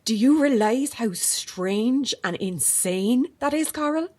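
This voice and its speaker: An actress playing a blunt, sceptical reporter in an audio drama, sarcastic and confrontational. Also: female voice, on the high side, animated, slow pace, a little mellow. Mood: exasperated. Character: defiant, sardonic female reporter, sceptical and blunt.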